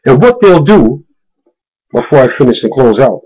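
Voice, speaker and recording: A man's voice: medium pitch, sing-song, moderate pace, a little mellow, breathy edge, dull audio. A man speaking informally to viewers in an emphatic way, warning and retelling, repeating words for stress. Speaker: video blogger warning viewers about a phone scam. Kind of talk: informal spoken warning with retold phone conversations.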